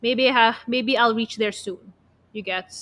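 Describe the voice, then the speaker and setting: A woman's voice: on the high side, measured pace, a bit bright. A young Filipino woman co-hosting a casual podcast, chatting in a rambling way peppered with "like". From Philippines. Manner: chatty, rambling, heavy use of "like". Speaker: podcast co-host. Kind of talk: casual chat about pop music.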